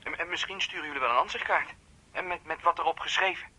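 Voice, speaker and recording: A man's voice: fairly high pitch, fast, sharp tone, husky, exaggerated voice. An actor playing a volatile, jealous young man in a radio play, agitated and stammering. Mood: subdued, regretful. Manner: agitated, stammering, repeating himself. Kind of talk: naturalistic radio play acting.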